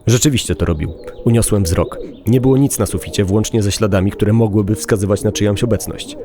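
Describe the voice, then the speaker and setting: A man's voice: fairly low pitch, a little fast. A man narrating a dramatized first-person horror-comedy story as a podcast reader, with crowd-like sound behind him.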